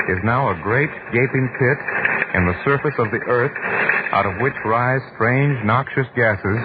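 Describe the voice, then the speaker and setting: An actor playing a grave radio news reporter with formal, portentous 1940s delivery. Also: male voice, mid-range voice, natural voice. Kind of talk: 1940s radio newscast acting. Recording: crackly, narrow-band 1940s radio.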